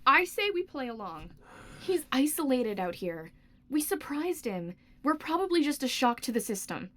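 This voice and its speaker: A woman's voice: high voice, sing-song, moderate pace, a bit bright. A woman acting in an audio drama as an earnest, reassuring young woman leading her trapped friends. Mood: earnest, persuading.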